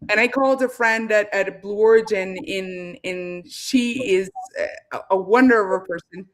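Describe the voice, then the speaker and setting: A woman from Argentina with an Argentine accent, a guest telling her career story in a casual interview, lively and laughing between phrases. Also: mid-range voice, moderate pace, poor audio. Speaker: guest, space company founder.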